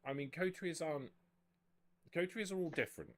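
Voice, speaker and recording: A man speaking as game master, explaining the rules of a tabletop roleplaying game in a measured way. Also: fairly high pitch.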